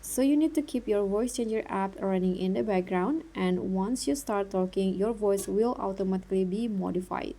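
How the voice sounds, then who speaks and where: A woman hosting a step-by-step app tutorial, talking in a halting, instructional way. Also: medium pitch, mellow. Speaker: tutorial host.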